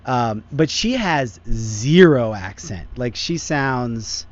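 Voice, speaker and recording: Joking podcast host, lo-fi sound. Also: male voice, mid-range voice, lively intonation, slow, no echo. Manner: loose, joking, lots of "like". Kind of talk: casual podcast chat.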